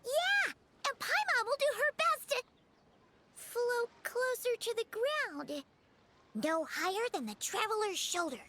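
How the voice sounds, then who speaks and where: A woman voicing a small, floating fairy companion girl in a video game, excitable and eager, with music behind her. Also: extremely high pitch, a little slow, slight background noise, heavily acted. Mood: eager, determined. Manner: excitable, refers to self in third person.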